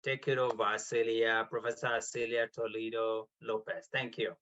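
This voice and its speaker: A man's voice: on the low side, level tone, normal speed, a bit bright, muffled recording, slight background noise. A man chairing an award session over a video call, speaking formally and politely with frequent ums and uhs. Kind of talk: formal welcome and introduction.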